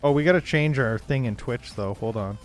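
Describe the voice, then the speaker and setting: A man hosting a casual game livestream, thinking aloud haltingly and improvising story prompts as he goes. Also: mid-range voice, soft background music. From Canada. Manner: halting, thinking aloud, frequent throat clearing. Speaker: livestream host.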